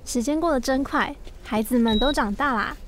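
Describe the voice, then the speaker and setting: Woman playing a chatty encouraging shop lady, really high pitched, radio drama, bit of noise behind. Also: moderate pace, natural voice. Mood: friendly, chatty. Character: chatty, friendly female shop acquaintance, encouraging.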